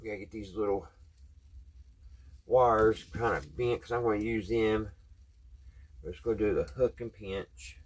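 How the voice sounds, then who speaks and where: A man with a Southern US accent speaks in a fairly low, husky voice. He hosts a casual hands-on tutorial at a workbench. His delivery is folksy and conversational, as if thinking aloud while he works. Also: steady tone, unhurried, pauses often. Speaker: host of a radio repair video.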